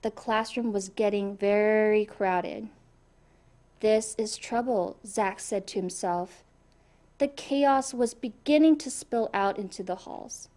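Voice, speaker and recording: A woman reading a children's storybook aloud in an expressive way, addressing young listeners. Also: mid-range voice, unhurried. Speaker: storybook reader. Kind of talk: animated children's read-aloud.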